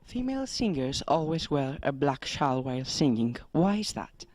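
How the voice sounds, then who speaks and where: A man's voice: on the high side, very animated, moderate pace, a little mellow, slight background noise. A young man from Greece reading out prepared interview questions outdoors in plain, careful English. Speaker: student interviewer. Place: outdoors in front of a museum.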